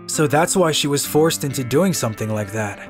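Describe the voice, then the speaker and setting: Man acting as overworked young office worker, flustered, self-deprecating, medium pitch, manga dub, background music. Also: lively intonation, a little fast, close to an everyday voice. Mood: reflective. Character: flustered, self-deprecating overworked young salaryman narrating his own story. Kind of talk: manga story narration and dialogue acting. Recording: music under the voice.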